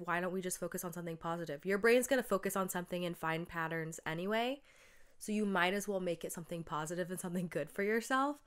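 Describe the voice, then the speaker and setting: A young woman with a low, smooth voice that sounds stuffed up from a cold. She hosts her own podcast in a loose, rambling chat, leaning on "like" and "um" as she goes. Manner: chatty, lots of "like" and "um". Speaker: podcast host. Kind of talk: casual solo podcast monologue.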